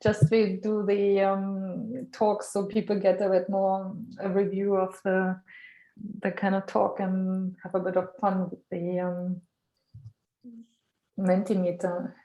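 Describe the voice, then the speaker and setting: A woman's voice: medium pitch, completely flat delivery, slow, warm tone, roomy sound, low-quality recording. A woman hosting an online seminar over a video call, talking casually and chattily. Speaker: host. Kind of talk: casual chat.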